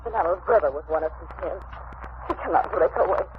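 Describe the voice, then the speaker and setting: An actress playing an adoring, overwrought Italian wife in effusive, theatrical broken English, in 1940s radio drama style. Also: female voice, fairly low pitch, fast, close to an everyday voice. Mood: anguished, fearful. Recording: scratchy, tinny vintage radio broadcast.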